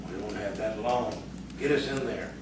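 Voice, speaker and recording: Male actor as hot-tempered rustic bully, jealous ringleader, low-pitched gruff bluster, heard from far off in heavy echo. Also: moderate pace, natural voice. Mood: commanding, scheming. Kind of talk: audio theatre acting. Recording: echoey, distant, noisy stage recording.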